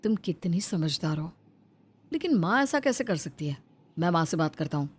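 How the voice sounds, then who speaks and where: Indignant young husband acted by a woman, animated. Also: fairly low pitch, normal speed, background noise. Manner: scolding, accusing, emphatic. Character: indignant, protective young husband, hot-tempered son. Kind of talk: melodramatic Hindi moral-story cartoon acting.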